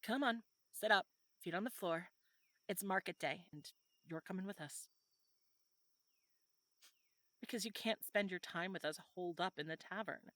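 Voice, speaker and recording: A woman playing a friendly, teasing medieval fantasy tavern local in an audio roleplay, talking in a conversational, gently instructive way to a newcomer. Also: on the low side, normal speed, no room sound, natural voice. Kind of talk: audio roleplay acting, adventure ASMR.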